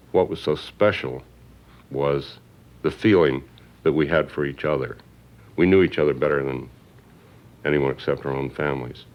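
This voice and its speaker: Older male interviewee, extremely deep, reflective and deliberate, on a slightly dull recording. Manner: halting, deliberate. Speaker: former magazine executive interviewed.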